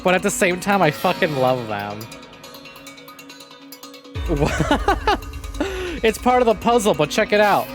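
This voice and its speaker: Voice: mid-range voice, big pitch swings, slow, long pauses. A streamer giving casual, jokey and sweary live commentary while playing a visual novel. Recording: game music behind the voice. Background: video game music.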